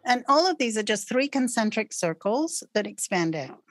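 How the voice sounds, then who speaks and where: A woman guest on a podcast, explaining ideas in an animated way and often checking in with "right?" and "okay.". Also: a little high, normal speed, no room sound. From North America. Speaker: guest expert on patterns.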